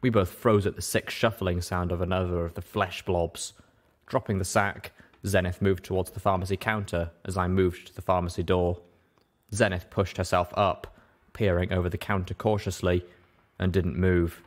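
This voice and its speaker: A man's voice: sits low, level tone, normal speed. A man reading a first-person story aloud as scripted narration. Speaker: audiobook reader.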